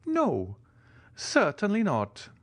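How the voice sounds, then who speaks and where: A high, lilting old soldier who fawns and frets, eager to please the count. A man reads him aloud in a storybook, pushing his voice well up. Dry and close. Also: huge pitch swings, slow pace, a bit bright, dry recording, full character voice. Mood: eager, fawning. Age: older. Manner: timid, fawning, exclaiming. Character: timid, eager old Italian ex-major.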